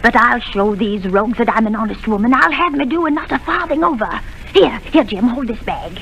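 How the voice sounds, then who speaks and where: A woman's voice: fairly high pitch, animated, fairly quick, fairly bright tone, highs cut off. A stubborn, fretful widow mother. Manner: grumbling, insistent. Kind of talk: 1930s radio drama acting. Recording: noisy old radio broadcast.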